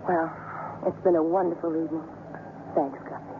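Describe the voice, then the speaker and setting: A woman's voice: sits low, level tone, normal speed, background noise, lightly acted. An actress playing a caring young woman who narrates her own story in a 1950s radio drama. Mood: gentle, probing. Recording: muffled 1950s radio recording, music behind.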